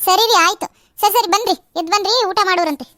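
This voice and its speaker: A woman's voice: extremely high pitch, fast, pushed and bright, slight background noise. A woman voicing a polite homemaker wife speaking to her husband. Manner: polite, addresses husband respectfully.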